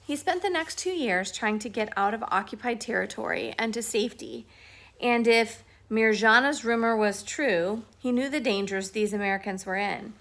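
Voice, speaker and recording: A woman reading a history book aloud at home, at an unhurried pace and with small restarts. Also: fairly high pitch, a bit bright. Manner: firm, scolding talk to a pet between passages. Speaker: reader of a book.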